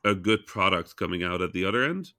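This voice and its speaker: Man, raspy morning voice, low pitch, crisp dry sound, chatty podcast co-host. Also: lively intonation, brisk, pushed and bright, dry recording. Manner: frank, rambling with restarts. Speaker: podcast co-host, game developer. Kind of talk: casual chat.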